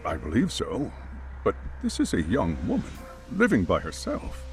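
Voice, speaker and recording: A man's voice: lowish voice, wildly swooping pitch, normal speed, a little mellow, faint music behind. An actor playing a wary, proper chairman in an English game dub, hesitant, cautious and defensive. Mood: reluctant. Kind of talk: dramatic game cutscene dialogue.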